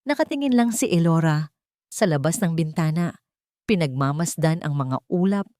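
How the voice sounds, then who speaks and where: A Filipino woman reads a dramatic romance in a fairly low voice. Her storytelling is measured and expressive, and the sound is close and dry, with very little room. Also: no room sound. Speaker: narrator of romance stories. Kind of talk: scripted dramatic audio story narration.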